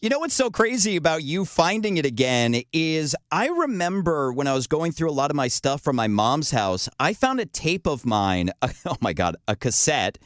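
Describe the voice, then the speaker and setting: Animated man hosting a lively chat. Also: moderate pace, no echo, studio quality. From Montreal, Canada. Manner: animated, laughs often, high-pitched laughter. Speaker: podcast host. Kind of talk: upbeat interview chat.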